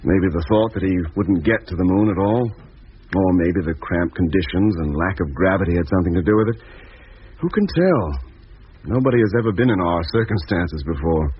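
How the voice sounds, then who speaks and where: An actor playing a thoughtful, mild-mannered spaceship doctor in a 1950s radio drama, measured and conciliatory. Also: male voice, low voice, slightly rough. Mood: reflective. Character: thoughtful, mild-mannered male ship's doctor, peacemaking and helpful. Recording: dull, muffled 1950s radio recording.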